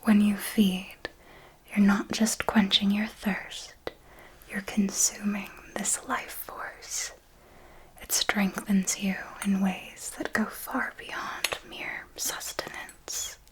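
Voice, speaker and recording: A woman playing a possessive, commanding vampire mentor in a whispered ASMR roleplay, speaking in a breathy, deliberate, coaxing way. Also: medium pitch, flat delivery, natural voice, low-quality recording. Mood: instructive, seductive. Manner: slow, deliberate, coaxing and instructive.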